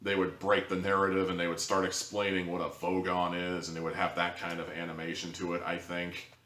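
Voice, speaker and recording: A man reviews in a casual, conversational ramble, hedging as he goes. His voice sits low. The recording is echoey, with him some way back from the microphone. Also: completely flat delivery, a little fast, a bit bright. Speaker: audio drama reviewer. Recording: roomy echo, distant from the mic.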